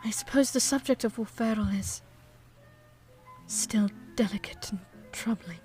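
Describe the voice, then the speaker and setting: A woman's voice: medium pitch, a little slow, darkish tone, faint music behind, lightly acted, poor audio. A woman playing an older, devoted, grieving widow of a composer, telling her story formally and wistfully in a period audio drama.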